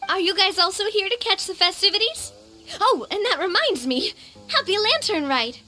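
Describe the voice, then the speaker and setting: A woman's voice: very high voice, animated, moderate pace, pushed and bright, a little hiss or hum, heavily acted. A woman voicing an exuberant, confident young lady boss in a video game, bubbly, emphatic and theatrical. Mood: delighted, friendly. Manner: bubbly, emphatic, self-assured. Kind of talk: animated video game dialogue.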